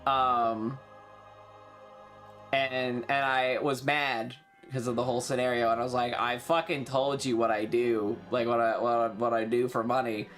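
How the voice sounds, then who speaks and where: A man chatting casually on a livestream, rambling and halting, swearing freely as he talks. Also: medium pitch, flat delivery, fairly bright tone, soft background music. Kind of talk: casual rambling stream chat.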